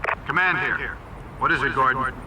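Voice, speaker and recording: A man's voice: mid-range voice, normal speed, noisy background, lightly acted. An actor playing an urgent, alarmed middle-aged male police officer in a video game. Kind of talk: dramatic video game acting.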